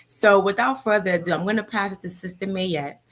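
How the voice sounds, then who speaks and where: A woman's voice: mid-range voice, brisk. A woman in her thirties hosting a live talk show in an upbeat, motivational way, often adding "okay?". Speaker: host.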